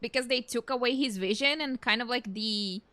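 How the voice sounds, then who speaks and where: High-pitched Brazilian woman streaming a game. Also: normal speed, sharp tone. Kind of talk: casual let's-play commentary. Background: video game music.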